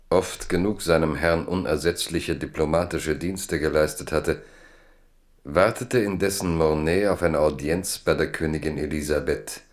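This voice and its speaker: A man narrating a scripted radio drama in a measured, formal manner. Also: rumbling low.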